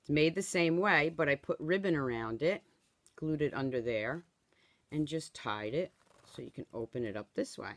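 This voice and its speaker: A woman in her sixties leads a friendly live stamping demonstration at her craft table. Her voice is a little hoarse from a cold, and her delivery is chatty and easygoing. The sound is dry and close, with no room echo. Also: low voice, animated, normal speed. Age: 60s. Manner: chatty, tags instructions with "okay?". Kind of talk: live-streamed craft tutorial.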